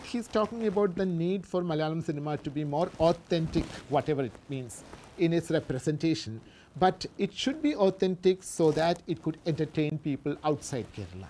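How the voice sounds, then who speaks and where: A woman presents a scholarly paper, reading out passages and explaining them in a halting way, often correcting herself. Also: lowish voice, dark tone, slight background noise. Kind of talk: scholarly lecture read from a paper with spoken asides.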